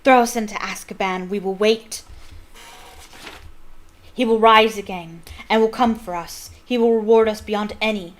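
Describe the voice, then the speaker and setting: A woman's voice: medium pitch, steady tone, measured pace, lightly acted. A woman doing a defiant fanatical prisoner. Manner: proud, loud, defiant.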